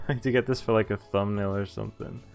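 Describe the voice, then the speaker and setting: A man's voice: lowish voice, fairly even intonation, fairly quick, gravelly. Casual male game streamer over music. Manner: casual, reacts with laughs and interjections. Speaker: streamer playing a visual novel. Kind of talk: let's-play commentary, reading game text aloud.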